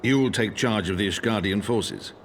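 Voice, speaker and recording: A man's voice: lowish voice, moderate pace, soft background music, close to an everyday voice. A man playing a dignified noble lord and father in a fantasy game, speaking in a stately, formal way. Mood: grave approval.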